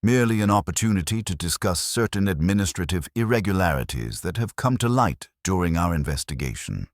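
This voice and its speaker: Guy reading as a mild-mannered investigator, low voice, polite and understated, dry audiobook sound. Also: animated, brisk, no echo.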